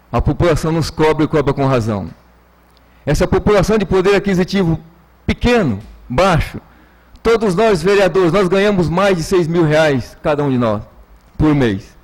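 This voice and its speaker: A Brazilian man speaks as a city councillor on the chamber floor. His voice sits a little high for a man. He is emphatic and oratorical, often repeating a phrase to drive it home. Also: a little mellow, low-quality recording. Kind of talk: political floor speech.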